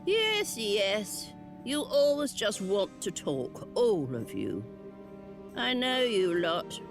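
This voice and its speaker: A slow, wry witch ghost. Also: medium pitch, very animated. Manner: slow, drawling, sly asides. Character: ghost of an old burned witch, wry and lonely. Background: game music.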